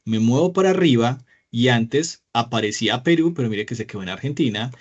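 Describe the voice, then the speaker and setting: A man's voice: medium pitch, lively intonation, brisk. A man, speaking in a mid-range voice as he leads an online class from a small room. He talks patiently and encouragingly and keeps checking in with his students, and the sound is a little dull and lo-fi. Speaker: Excel teacher. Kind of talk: live online class with screen share.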